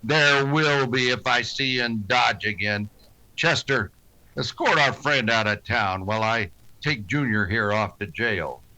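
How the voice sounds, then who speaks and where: An actor playing a stern, terse western marshal, commanding in dialogue and narration in a radio drama. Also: male voice, medium pitch, unhurried, sharp tone, rough recording. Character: stern, laconic frontier marshal, authoritative narrator.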